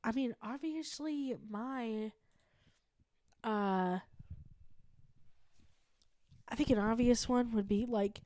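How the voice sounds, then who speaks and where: A woman's voice: on the high side, slow pace, pauses often, mellow, no room sound. A woman of thirty co-hosting a comedy podcast, rambling casually with lots of swearing and laughter. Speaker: podcast co-host.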